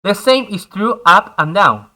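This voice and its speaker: Bright-voiced man, Spanish native speaker. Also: high-pitched, expressive, measured pace. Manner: patient step-by-step explaining, slightly non-native English. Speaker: tutorial host. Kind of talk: screen-recorded game tutorial.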